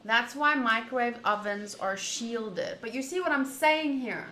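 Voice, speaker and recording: A woman streaming a visual novel, reading text aloud and thinking out loud in an animated, rambling way. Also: on the high side, unhurried. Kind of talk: reading game text aloud with casual commentary. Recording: roomy echo, faint background noise.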